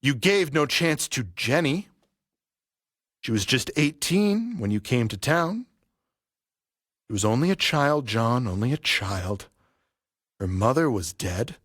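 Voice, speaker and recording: A bitter vengeful barber dad, slow menace, pitch swings a lot, really dry studio sound. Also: male voice, sing-song, fairly bright tone, no room sound, heavily acted. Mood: bitter grief. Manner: menacing, deliberate, theatrical. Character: vengeful grieving father, a barber settling an old debt. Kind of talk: audio play acting.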